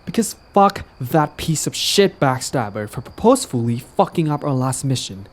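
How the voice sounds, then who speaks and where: A man's voice: medium pitch, sing-song, normal speed. A man playing a smug, possessive young villain in a romantic audio drama, talking in a casual, teasing, cajoling way. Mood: jealous, irritated. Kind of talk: roleplay audio drama, conversational acting.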